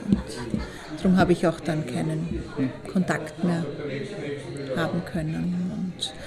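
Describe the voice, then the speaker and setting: An actress playing a grown daughter who haltingly recalls someone in a naturalistic radio drama monologue. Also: female voice, on the low side, little pitch movement, over a noisy crowd. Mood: wistful, hesitant. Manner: hesitant.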